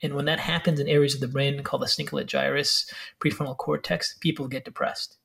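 A man speaking as a guest expert, explaining brain health in a fast, filler-heavy way with restarts. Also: medium pitch, flat delivery, vocal fry, fairly bright tone. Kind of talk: explanatory interview talk.

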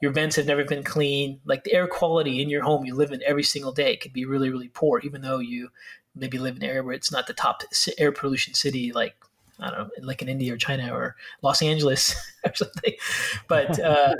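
A man speaking as a guest expert, explaining brain health in a fast, filler-heavy way with restarts. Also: mid-range voice, level tone, fairly bright tone. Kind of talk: explanatory interview talk.

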